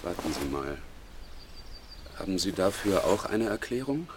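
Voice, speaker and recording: Low-voiced, curt male police inspector. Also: sing-song, a little slow, slight background noise. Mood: stern, probing. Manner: curt, sardonic. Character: dry, impatient male police chief inspector. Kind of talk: radio crime drama acting.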